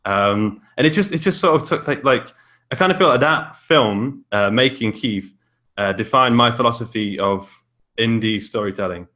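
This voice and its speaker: Bright-voiced British man chatting casually. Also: mid-range voice, moderate pace. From England. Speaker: podcast guest, indie author and filmmaker. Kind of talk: casual chat.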